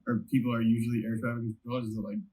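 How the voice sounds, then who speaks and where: A man with a husky, slightly rough voice at an average pitch acts as interviewer. He puts his questions tentatively, in loose, informal conversation. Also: little pitch movement, racing, mellow, low-quality recording. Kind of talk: casual interview chat.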